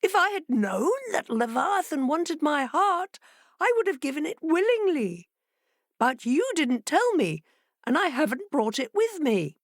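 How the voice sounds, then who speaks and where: A woman's voice: high voice, very animated, unhurried, no room sound, studio quality, voice pushed up, heavily acted. A woman voicing a proud, cunning male fox, raised above her own voice. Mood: sly. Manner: haughty, then sly.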